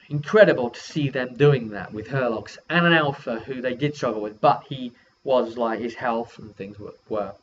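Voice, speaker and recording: A man gives a casual, rambling recap as a tabletop game master, working things out aloud as he goes. His voice sits at an everyday male pitch. The recording is a little dull, with faint background noise. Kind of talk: casual vlog recap of a role-playing session.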